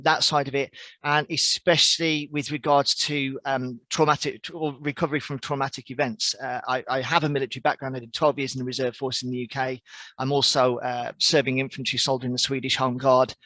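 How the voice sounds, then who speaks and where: An English man in his forties speaks earnestly and reflectively, often pausing to restart a thought. His voice sits at a middle pitch and sounds close and dry on a video call mic. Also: sharp tone, no echo. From English Midlands. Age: 40s. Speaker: podcast guest, outdoor coach. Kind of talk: conversational interview answers.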